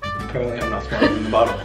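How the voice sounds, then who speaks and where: An American man in his early twenties chatting casually to a handheld camera in an animated, slangy, exclamatory way. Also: medium pitch. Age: early 20s. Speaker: vlogger. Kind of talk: casual vlog chat. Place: indoors, apartment and gym. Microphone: handheld camera at arm's length.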